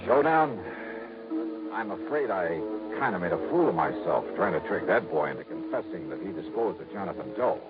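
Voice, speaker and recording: An actor playing a blunt, wisecracking, hardboiled insurance investigator in a 1950s radio drama. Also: male voice, low voice, lively intonation, over loud music. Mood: rueful. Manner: brisk, wisecracking, insistent. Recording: muffled, hissy vintage radio recording.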